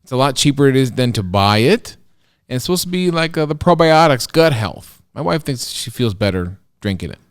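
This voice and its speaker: A man co-hosting a podcast, with a mid-pitched voice. He rambles enthusiastically through food explanations and teases his partner. The recording is dry and close, with no room sound. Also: lively intonation, fairly quick, clear, resonant tone, no room sound. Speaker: podcast co-host. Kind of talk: casual banter.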